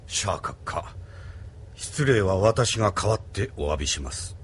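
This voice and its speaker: An actor playing a stern older admiral who speaks formally and with authority in a Japanese anime audio drama. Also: male voice, low-pitched, very animated, measured pace, a little hiss or hum. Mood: formal, courteous.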